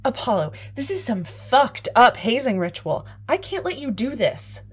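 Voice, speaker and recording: An actress playing a defiant, outraged young college woman with a husky voice in a horror audio story. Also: fairly high pitch, sing-song, moderate pace.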